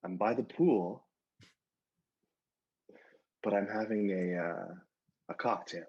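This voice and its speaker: Husky, low-voiced man, muffled call-in audio. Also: slow. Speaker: phone-in caller. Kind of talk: hesitant casual phone chat.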